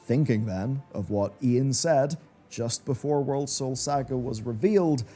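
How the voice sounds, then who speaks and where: Man, medium pitch, mellow tone, scripted commentary, video essayist, light background music. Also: a little slow.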